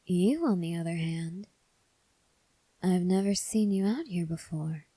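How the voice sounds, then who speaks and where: A woman playing a calm, probing female vampire in an ASMR roleplay, speaking softly and breathily with deliberate pauses. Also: fairly low pitch, dry recording. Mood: knowing, probing. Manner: soft, breathy, measured delivery with deliberate pauses. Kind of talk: ASMR roleplay addressed to the listener.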